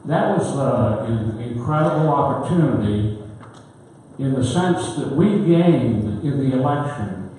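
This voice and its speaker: A man's voice: medium pitch, lively intonation, unhurried, warm tone, slight background noise. A man giving a nominating speech at a political convention, speaking plainly with a few halts. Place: large school hall. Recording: echoey hall, distant mic.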